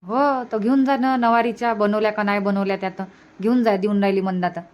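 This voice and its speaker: A woman's voice: mid-range voice, fairly even intonation, fast, a little mellow, natural voice. A woman voicing a homely older village grandmother in a cartoon comedy, speaking rural Varhadi Marathi. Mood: matter-of-fact.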